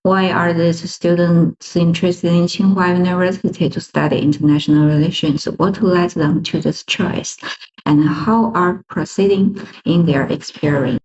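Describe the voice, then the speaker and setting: A woman's voice: on the low side, fairly even intonation, moderate pace, darkish tone. A woman presenter giving a scripted promotional presentation. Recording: echoey room, dull lo-fi sound.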